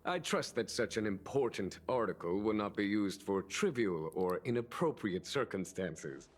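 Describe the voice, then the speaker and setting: An actor playing a calm, courteous, dignified general in a video game, speaking formally and in measured, ceremonious phrases. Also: male voice, lowish voice. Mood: grave, courteous. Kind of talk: scripted video game voice acting.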